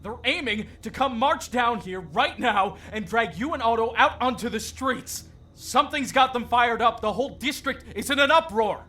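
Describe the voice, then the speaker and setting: A man's voice: very high voice, lively intonation, normal speed, pushed and bright, soft background music, pitched above the performer's own voice, heavily acted. A man voicing a frantic, urgent bringer of bad news in an audiobook. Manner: urgent, agitated. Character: frantic man in a suit bringing dire warnings. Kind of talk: acted audiobook dialogue.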